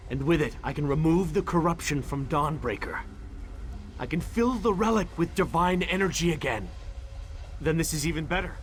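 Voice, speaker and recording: Higher-pitched, earnest heroic knight. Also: male voice, a bit bright, a little hiss or hum. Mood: awed. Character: brave, earnest male knight, self-sacrificing champion. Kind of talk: video game character acting.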